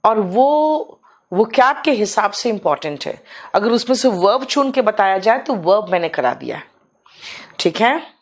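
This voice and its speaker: A woman teaching English in a live online class, hoarse for days, clearing her throat and calling her students "beta" in a motherly, coaxing way. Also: mid-range voice, animated, unhurried.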